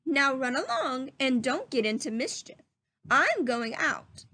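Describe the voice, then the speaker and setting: A woman voicing an old mother rabbit in a children's storybook, measured and admonishing as she cautions her young. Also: high voice, big pitch swings, pushed and bright, exaggerated voice. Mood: motherly warning.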